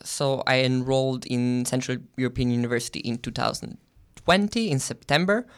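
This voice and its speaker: A young man from Slovenia, a guest on an interview show, answering in a thoughtful way with many pauses and restarts. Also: mid-range voice, smooth. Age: young adult. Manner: thoughtful, with frequent ums and restarts. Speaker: guest, exchange student.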